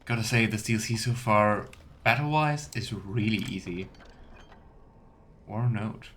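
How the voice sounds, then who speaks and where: A man's voice: lowish voice, a little slow, fairly bright tone. Casual male game streamer, faint background noise. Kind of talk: casual let's play commentary.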